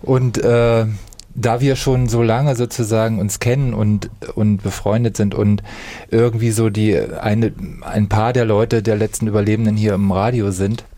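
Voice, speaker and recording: A man's voice: on the low side, dark tone, no echo. A middle-aged man from Saxony-Anhalt, a radio host telling old stories in casual chat and stepping in to correct his friend. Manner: storytelling, interrupts to correct. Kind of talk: reminiscing chat.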